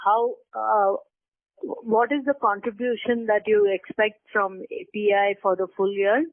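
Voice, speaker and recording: A tinny phone line carries an Indian-accented woman speaking slowly at a medium pitch. She is an analyst, asking her questions haltingly, piece by piece. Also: steady tone. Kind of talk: earnings call questions. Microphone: telephone.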